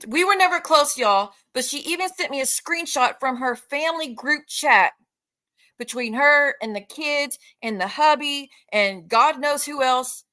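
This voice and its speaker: A woman with a fairly high, sharp and forceful voice, streaming live to her audience. She rants without a script in a confrontational way. Her delivery is thick with sarcasm and peppered with swearing. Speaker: online streamer addressing viewers. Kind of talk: unscripted live rant, addressing viewers directly.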